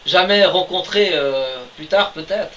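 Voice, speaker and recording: Guy with a high voice, lots of umms, jokey, far from the mic, muffled lo-fi with a bit of hiss. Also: a little slow, bright, forceful tone. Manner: hesitant, many fillers, joking. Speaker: podcast co-host, writer of the story. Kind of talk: casual chat. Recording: distant mic, dull lo-fi sound, faint hiss.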